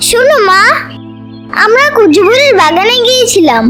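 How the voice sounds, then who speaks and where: Squeaky, excitable little animal child. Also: female voice, moderate pace, soft background music, full character voice. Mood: explaining. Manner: whiny, insistent, excitable. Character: squabbling whiny young animal child, eager and excitable.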